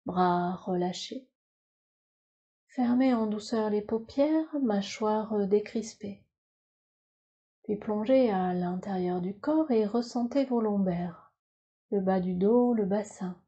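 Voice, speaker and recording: A woman teaching yoga nidra, leading a guided relaxation in a calm, soothing, instructional way with measured phrases. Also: medium pitch, husky, muffled recording.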